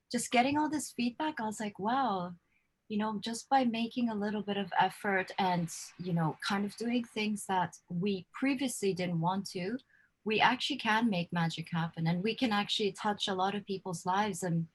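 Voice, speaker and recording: A woman hosting a relaxed live chat show over a video call. She talks in a loose, chatty way, laughs easily and fills her sentences with "like" and "you know". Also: mid-range voice, rough recording. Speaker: host. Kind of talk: casual livestream interview.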